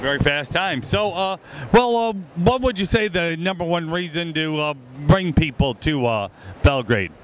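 A man asks questions in a friendly, halting way, leaning on lots of fillers. His voice sits a bit high for a man. He talks into a handheld mic, with steady crowd noise from a busy indoor expo hall behind him. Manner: friendly, hesitant with many fillers. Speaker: interviewer. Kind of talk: casual expo interview. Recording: noisy expo hall background.

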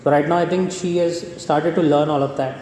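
A man's voice: on the high side, fairly even intonation, fairly quick, mellow. A young man, the groom, talking in an interview about his bride, hesitant with many fillers and restarts. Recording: echoey room with faint hiss.

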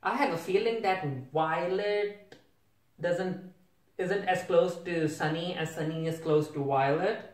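A man's voice: high voice, unhurried. A young man giving casual, bemused reaction commentary, asking questions and repeating himself as he goes. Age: young adult. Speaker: reviewer reacting to a cartoon. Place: small home room with a desk. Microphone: camera a few feet away. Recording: roomy, distant microphone.

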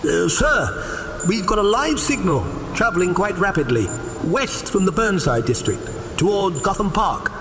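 A man's voice: high-pitched, big pitch swings, a little slow, full character voice. A man playing a formal, deferential elderly butler, polite and precise, in an audio drama. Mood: attentive, polite. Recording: echoing cave reverb, faint ambient noise.

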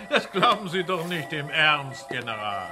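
Gloating mad villain, wildly swooping. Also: male voice, fairly high pitch. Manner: gloating, mocking. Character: mocking mad male villain scientist. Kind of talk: German audio drama acting. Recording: very loud music behind the voice. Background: loud music.

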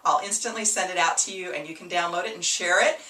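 A woman in her forties from Kansas giving upbeat, animated advice to school auction volunteers, speaking to camera in front of a whiteboard in a small room. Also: on the low side, fast. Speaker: auction consultant. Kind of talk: enthusiastic advice video. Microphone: camera mic at a short distance. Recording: roomy, slightly distant camera audio.

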